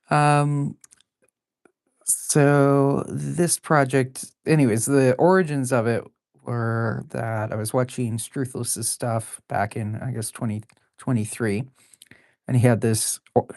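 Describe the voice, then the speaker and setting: A woman in her forties with a low, deep voice, chatting casually while drawing live. She rambles, laughs often, and fills pauses with plenty of ums and uhs. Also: a little mellow, no room sound, clean studio sound. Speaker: artist drawing live on stream.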